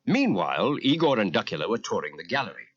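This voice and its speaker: A man narrating a children's story in a dramatic, theatrical and expressive style. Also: on the low side, quick pace. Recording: muffled cassette transfer.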